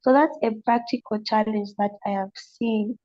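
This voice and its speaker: A Zambian woman with a slight mixed accent, speaking earnestly on a panel, pausing and restarting as she thinks. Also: fairly high pitch, warm tone, highs cut off. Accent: slight non-Zambian accent from family. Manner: earnest, thoughtful, halting with restarts. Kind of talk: panel discussion.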